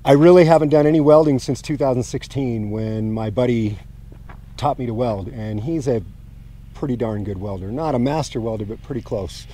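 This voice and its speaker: A man's voice: mid-range voice, moderate pace, dark tone. A middle-aged man explaining a job to camera in a casual, plain-spoken, informal way. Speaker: homestead vlogger. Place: outdoors on open rural land. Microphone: clip-on mic and handheld camera.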